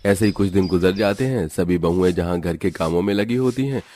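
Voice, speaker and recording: A man's voice: sits low, brisk, mellow, slight background noise. A man reading scripted narration for a story.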